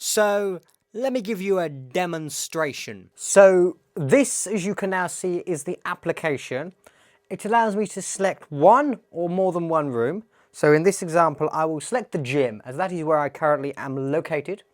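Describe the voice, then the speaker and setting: A man's voice: on the high side, normal speed. A man presenting a tech tutorial, explaining a demo in a plain-spoken, step-by-step way.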